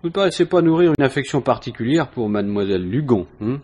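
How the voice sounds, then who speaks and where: An actor playing a dry, methodical police inspector questioning someone in a 1950s French radio mystery. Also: male voice, mid-range voice, lively intonation, fast, a little mellow, lightly acted. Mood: probing, dry. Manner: matter-of-fact, questioning.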